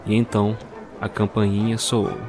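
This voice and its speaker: A man narrating a scripted storybook tale for an audio drama in a measured storyteller's manner. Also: fairly low pitch, completely flat delivery, over loud music.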